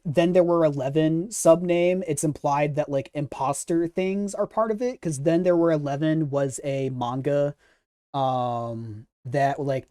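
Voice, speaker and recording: Stammering streamer, mellow, very dry sound. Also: mid-range voice, dry recording. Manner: hesitant, stammering repetition of words while thinking aloud. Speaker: host of a manga discussion stream. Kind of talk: casual theory chat, responding to live comments.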